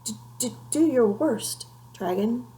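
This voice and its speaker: A woman voicing a timid, nervous young male knight in a storybook, with a squeaky, hesitant, stammering delivery. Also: animated, slow pace, mellow, slight background noise. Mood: nervous bravado.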